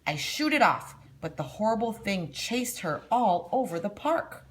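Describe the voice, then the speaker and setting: A woman's voice: mid-range voice, very animated, unhurried, voice pushed up. A woman voicing a snobbish, bossy middle-aged mother in a storybook read-aloud, haughty and commanding. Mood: haughty, disdainful.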